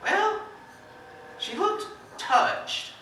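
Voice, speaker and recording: A plain-spoken country farmer acted by a man gives a folksy, halting account on an amateur stage. His voice is bright and swings widely in pitch. It sounds distant and very echoey. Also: big pitch swings, full character voice. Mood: uneasy, recalling. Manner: folksy, halting storytelling with dramatized quotes. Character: plain-spoken neighboring farmer recounting a shocking visit. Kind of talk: amateur stage play acting. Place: theatre stage, indoors. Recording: echoey stage from far off, faint noise.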